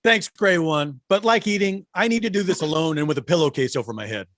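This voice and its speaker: Insecure cartoon cat, melodramatic , man performing: high voice, very animated, dry close sound. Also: fairly quick, no room sound. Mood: resigned. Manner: melodramatic, self-deprecating. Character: insecure, self-pitying male pet cat. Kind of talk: cartoon table read.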